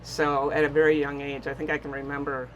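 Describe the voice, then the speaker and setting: A woman's voice: low voice, little pitch movement, fairly quick, fairly bright tone, a little hiss or hum, rough recording. An older woman from the Bahamas, chatting casually in an interview aboard a small boat, telling stories with many fillers. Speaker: guest boater.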